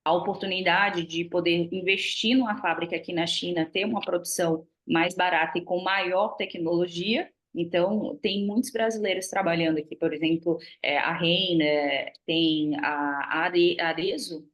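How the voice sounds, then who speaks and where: A woman's voice: on the low side, steady tone, moderate pace, few pauses, a bit bright. A Brazilian woman speaking with a Brazilian Portuguese accent as a guest in a business webinar. She talks freely and chats, with many fillers and restarts. The sound is that of a video call. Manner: chatty, frequent fillers and restarts. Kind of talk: webinar talk. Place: lives in China.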